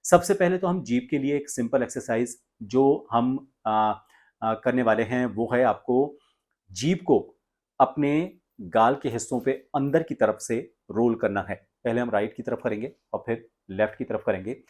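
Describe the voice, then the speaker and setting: An Indian man working as a voice coach, giving instructions in a deliberate, explanatory way with frequent pauses mid-sentence. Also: medium pitch, a little mellow. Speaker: voice coach and presenter. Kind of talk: instructional tutorial, explaining exercises step by step.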